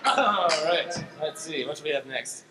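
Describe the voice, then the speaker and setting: High-voiced man hosting improv. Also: expressive, moderate pace. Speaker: improv show host. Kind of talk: casual, improvised stage hosting. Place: theater stage before an audience. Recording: echoey, very noisy live stage.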